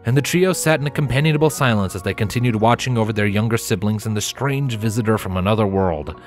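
A man giving an expressive scripted narration of a story as its narrator. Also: on the low side, fast, faint music behind.

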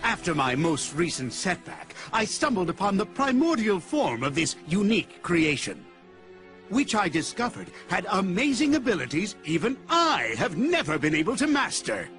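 An actor playing a pompous middle-aged mad scientist villain in a video game, theatrical and boastful in manner. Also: male voice, high voice, sing-song, moderate pace. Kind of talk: video game cutscene acting. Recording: loud music behind.

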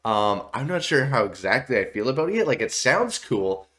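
A young man with a stuffy, nasal voice from a cold, giving excitable, swear-heavy commentary while reacting to songs in a small home room. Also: medium pitch, moderate pace. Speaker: music reaction streamer. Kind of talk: casual music reaction commentary.

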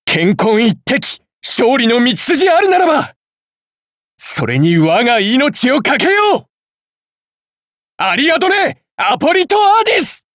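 A man voicing a young Greek hero swordsman, gentle and dutiful yet hesitant, in anime game battle lines, with a breathy voice. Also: extremely high pitch, sing-song, unhurried, long pauses, no room sound, clean studio sound, full character voice. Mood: fervent, commanding. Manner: soft-spoken, polite, turning to fervent battle cries.

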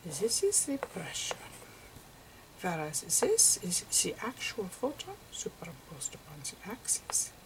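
A woman voicing Einstein as a gentle, eccentric old physicist in a whispery, halting ASMR lecture with repetitive professorial asides. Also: lowish voice, huge pitch swings, heavily acted, rough recording. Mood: hushed, explanatory.

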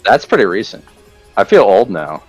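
A man's voice: lowish voice, sing-song, moderate pace. A man in his thirties chatting casually with friends while gaming, cracking dry, teasing jokes. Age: 30s. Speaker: co-streamer friend. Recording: faint game music behind.

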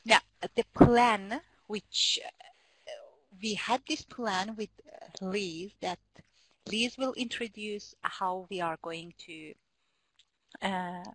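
A woman from northwest Iran with a Turkish-tinged Iranian accent, presenting carefully in a webinar with many pauses and fillers. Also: mid-range voice, fairly bright tone, a little hiss or hum, poor audio. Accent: Iranian, Turkish-speaking northwest. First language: Azerbaijani Turkish. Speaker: conference presenter. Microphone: computer mic in a web meeting.

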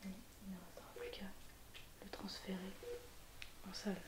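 A young woman speaking softly and breathily, mostly in a whisper, in a gentle, reassuring ASMR medical roleplay as a surgeon. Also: mid-range voice, little pitch movement, moderate pace. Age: young adult. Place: small indoor room at home. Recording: noisy home recording with clicks.

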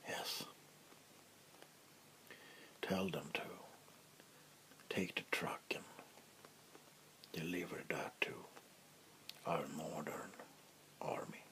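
A man playing a menacing, smug vampire king in a soft-spoken ASMR roleplay, slow and taunting with mock politeness. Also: low-pitched, fairly even intonation, heavily acted. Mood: smug, commanding. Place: small indoor room. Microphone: close mic.

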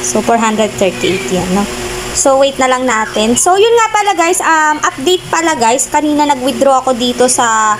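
A high-voiced young woman from the Philippines talks her viewers through her phone screen in a loose, chatty way. She keeps calling them "guys" and stops often to search for words. Steady background noise sits under her voice on a phone mic. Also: normal speed. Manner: chatty, filler-heavy, addresses viewers as guys. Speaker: vlogger. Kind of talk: casual screen-recording walkthrough.

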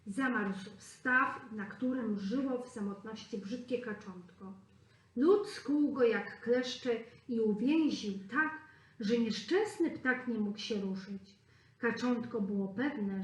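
Slow, expressive woman storyteller. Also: fairly high pitch. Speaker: preschool teacher. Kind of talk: reading a fairy tale to children. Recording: roomy echo.

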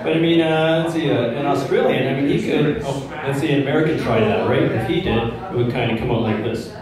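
Stand-up comic, middle-aged man, mid pitch, crude casual patter; distant and echoey, roaring crowd. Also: fairly quick. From Czechoslovakia. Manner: conversational, crude, asks the crowd "right?". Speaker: stand-up comedian. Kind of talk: stand-up set. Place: small pub comedy stage with audience. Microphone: handheld mic, filmed from far back. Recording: roomy pub sound from afar. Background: loud crowd laughter.

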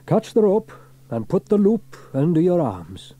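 A man's voice: sits high, very animated, measured pace, dark tone, highs cut off, pitched above the performer's own voice, heavily acted. A man voicing a friendly, capable firefighter hero in a children's story, with hearty delivery. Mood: urgent, commanding. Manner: hearty, clear commands.

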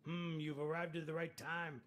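A man's voice: a little high, level tone, moderate pace, fairly bright tone, close to an everyday voice. An actor playing an insinuating male crime figure in a noir game, speaking with sly formality. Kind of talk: noir game character dialogue.

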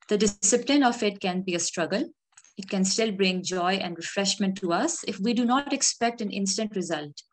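Woman, sermon speaker, calm, earnest, reflective, mid-pitched voice. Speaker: khutbah speaker. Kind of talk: measured sermon.

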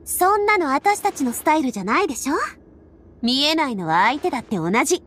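A woman's voice: sits high, very animated, a little fast, a bit bright. An actress playing a bold, cocky young woman adventurer with a confident, punchy manner in an anime game. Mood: cocky, eager. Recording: game music behind.

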